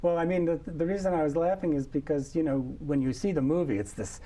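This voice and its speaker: A man's voice: a little high, fast talker, mellow, rough recording. A man hosting a film festival interview in a studio, asking conversational questions with some hesitation. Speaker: festival director and interview host.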